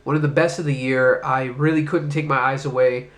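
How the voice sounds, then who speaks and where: A man's voice: medium pitch, fairly even intonation, a little fast. A man hosting his own movie review video, speaking with a congested, stuffed-up voice from being sick and coughing now and then, in a loose, rambling way that includes casual swearing.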